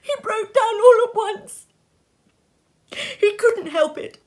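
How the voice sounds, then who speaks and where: Expressive woman reading a classic story. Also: very high voice, slow. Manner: expressive, feeling. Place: sitting room.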